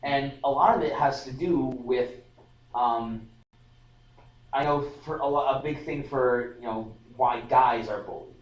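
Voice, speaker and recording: A man's voice: medium pitch, unhurried, dull audio. A young man in casual podcast discussion, rambling with restarts and fillers. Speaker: podcast host. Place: room at a student society meeting. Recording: roomy live stream audio, distant mic.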